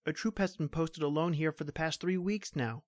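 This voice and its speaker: A man's voice: a little high, normal speed, lightly acted. An actor playing a young state trooper reassuring his sweetheart, in tender old-time radio drama style.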